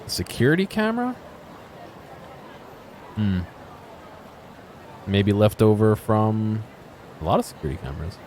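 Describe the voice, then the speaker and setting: A man giving casual, off-the-cuff video game commentary, thinking aloud in halting fragments and questions to himself. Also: on the low side, slight background noise.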